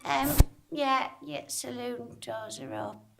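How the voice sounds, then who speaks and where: A woman playing a glum, barely functioning saloon computer in a comic radio play, hesitant and deadpan. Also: fairly high pitch, slow pace, gravelly, exaggerated voice. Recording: roomy live theatre sound.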